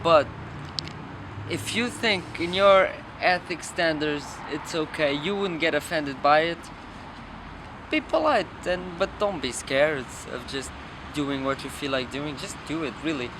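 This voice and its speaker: A man's voice: a little high, unhurried. A man giving casual, unscripted advice, speaking outdoors in an urban setting. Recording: clear outdoor street and traffic noise.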